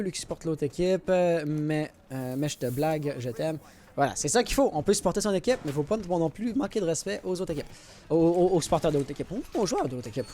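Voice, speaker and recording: A man's voice: on the high side, darkish tone. A man with a Quebec French accent doing live esports commentary, informal and colloquial, mixing in English gaming slang. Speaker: esports caster. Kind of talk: live match commentary with casual asides to chat. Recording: clear background noise with clicks and gunfire. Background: video game gunfire and clicks.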